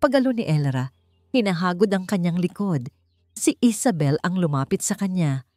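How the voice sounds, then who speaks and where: A woman's voice: on the low side, lively intonation, moderate pace, dark tone, dry recording, studio quality. A woman reading a scripted love story as a dramatic narrator. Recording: music behind the voice. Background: background music.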